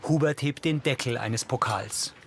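A man's voice: mid-range voice, unhurried, faint music behind. A man reading scripted audio description in a neutral, factual manner. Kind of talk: scripted narration.

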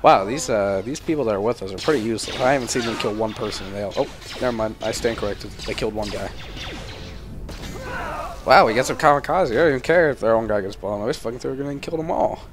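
A man's voice: medium pitch, quick pace. A young man giving casual, sarcastic and sweary commentary while playing a video game. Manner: sarcastic, profane running commentary. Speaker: gaming video host.

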